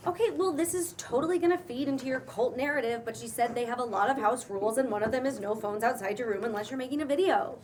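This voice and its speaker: A woman plays a breezy young woman with a writer's wry streak. Her voice sits a little high and sounds casual and conversational, as in a script read, with slight noise behind it. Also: fast talker, hardly any pauses, darkish tone, close to an everyday voice. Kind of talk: table read of a pilot script. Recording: faint background noise.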